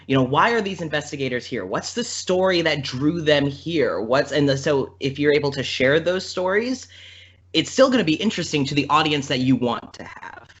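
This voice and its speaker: A man's voice: on the high side, a bit bright. A man talking casually as a podcast guest, in an informal, chatty, rambling way. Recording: slightly dull, lo-fi audio.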